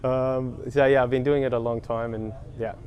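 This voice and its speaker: Flat-voiced man, hesitant. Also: medium pitch, normal speed, lo-fi sound, a little hiss or hum. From Australia. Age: 30s. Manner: hesitant, many ums and restarts. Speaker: race team mechanic. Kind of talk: casual interview at a race paddock.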